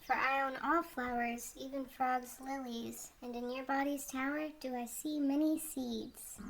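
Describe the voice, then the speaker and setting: A woman playing a gentle, consoling spirit of flowers and spring in a puppet film, speaking solemn, tender verse. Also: sits high, slight background noise, rough recording.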